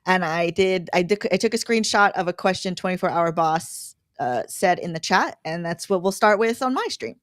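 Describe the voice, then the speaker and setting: A woman's voice: lowish voice, expressive, moderate pace, few pauses, no room sound, clean studio sound. A woman content creator chatting informally and conversationally as a livestream guest. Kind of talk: casual livestream chat.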